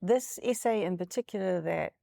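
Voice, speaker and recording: A woman hosting a podcast interview, leading with attentive, measured questions. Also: lowish voice, gravelly, warm tone, no room sound. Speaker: podcast host. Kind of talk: interview conversation.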